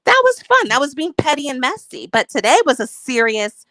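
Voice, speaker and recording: High, bright-voiced woman arguing hard. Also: big pitch swings, moderate pace, no room sound. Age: adult, married. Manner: defensive, profane, emphatic. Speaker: YouTube commentator. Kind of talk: heated livestream argument.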